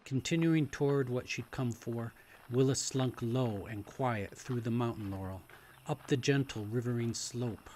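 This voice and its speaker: A man reading a novel aloud as a dramatic narrator, in a hushed, deliberate and suspenseful way that at times nears a whisper. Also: medium pitch, slight background noise. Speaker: author reading own novel. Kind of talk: dramatic audiobook-style story narration.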